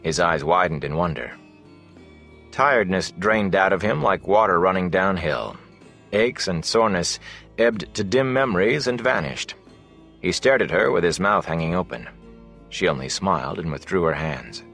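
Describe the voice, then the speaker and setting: A man reads a fantasy novel in a low-pitched voice. His storytelling is measured and expressive, as an audiobook narrator would deliver it. Faint music plays behind the narration. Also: gravelly.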